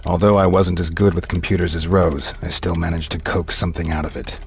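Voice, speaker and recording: An actor playing a brooding vampire hero who narrates his hunt gravely and in a measured way for a video game. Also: sits low, steady tone, close to an everyday voice. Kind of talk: scripted noir-like game narration. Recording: loud music behind the voice.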